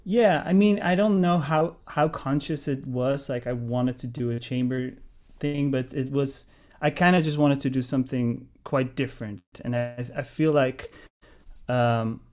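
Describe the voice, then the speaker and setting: Guy, jazz pianist, chatty interview, lots of um and restarts, middle pitch. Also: measured pace, a little mellow. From Netherlands. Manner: thoughtful, rambling with many restarts and fillers. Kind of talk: casual interview chat.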